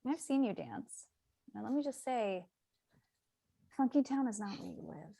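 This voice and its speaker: A woman's voice: a little high, expressive, normal speed, creaky, mellow. A woman playing a sweet but wry bartender in her late twenties at a film script table read, with dry teasing. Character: sweet, wry twenty-nine-year-old female bartender.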